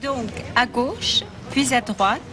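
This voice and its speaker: A woman's voice: a little high, animated, slow, bright, forceful tone, a little hiss or hum. A woman playing a tourist who politely asks for directions and repeats them back carefully. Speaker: tourist asking directions in a scene. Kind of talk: scripted dialogue scene.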